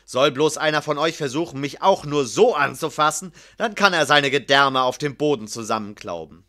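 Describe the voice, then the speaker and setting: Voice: medium pitch, lively intonation, normal speed, a bit bright, close to an everyday voice. A performer voicing a scornful, defiant male barbarian who growls his threats in an audiobook story. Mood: scornful defiance.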